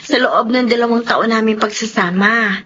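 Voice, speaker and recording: A woman acting a trusting young working wife and mother in a radio drama, warm and reassuring. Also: fairly high pitch, normal speed, lightly acted. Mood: earnest, reassuring. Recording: muffled lo-fi audio with hiss.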